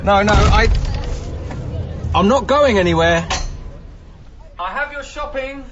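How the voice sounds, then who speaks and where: An actor playing an earnest, harried young British council housing officer in a naturalistic radio drama. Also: male voice, sits high, animated, takes it slowly, crowd noise. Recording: muffled radio recording, noisy ambience.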